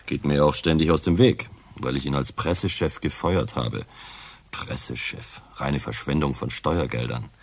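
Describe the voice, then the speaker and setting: A man's voice: very deep voice, normal speed. A man playing a nervous, disdainful senior town official in a radio crime drama, brusque and dismissive.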